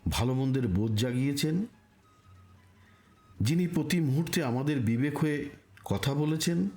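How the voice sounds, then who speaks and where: A man delivering a scripted spiritual narration in a solemn, deliberate manner, with rhetorical pauses. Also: mid-range voice, warm tone.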